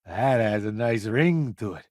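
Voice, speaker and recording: An actor playing a wry, self-promoting food columnist turned space crewmate in a video game, breezy and full of sardonic wisecracks. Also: male voice, lowish voice, expressive, measured pace, darkish tone, no room sound, studio quality.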